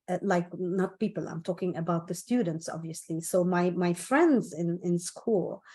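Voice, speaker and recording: A woman's voice: mid-range voice, darkish tone. The woman you would hear leading a self-help session, unhurriedly sharing her own stories and leaning on key phrases so they land. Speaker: motivational speaker. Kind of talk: personal storytelling talk on self-esteem.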